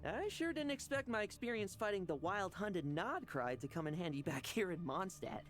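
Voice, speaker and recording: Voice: mid-range voice, expressive, normal speed, over music, lightly acted. A performer voicing a blunt, eager young warrior who loves a fight, in a video game. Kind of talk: game dub acting.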